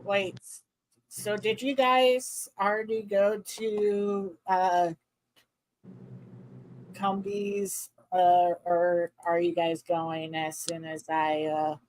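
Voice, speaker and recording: A twenty-four-year-old woman chatting casually, hesitant with fillers, quick to correct others. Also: fairly low pitch, little pitch movement, a little mellow, a little hiss or hum, poor audio. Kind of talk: casual stream chat.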